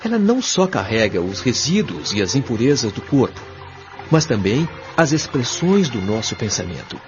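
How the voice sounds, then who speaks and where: A Brazilian man playing a kindly, patient spirit guide in an audio drama, explaining things in a measured, didactic, carefully enunciated way. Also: mid-range voice, very animated, lightly acted. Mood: solemn, instructive. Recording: dull lo-fi audio, music behind.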